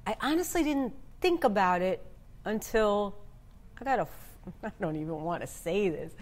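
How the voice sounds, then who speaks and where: A woman's voice: medium pitch, lively intonation, moderate pace. Woman candidly telling a story. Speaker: model, guest. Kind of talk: casual interview storytelling.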